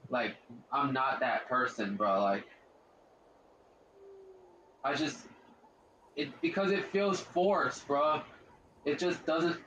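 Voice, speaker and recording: Gaming streamer, man, deep voice, loose rambling commentary with a faint hiss. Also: slow pace, pauses often, pushed and bright, reverberant, muffled recording. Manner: rambling, repeats himself, slangy. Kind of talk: casual let's-play commentary.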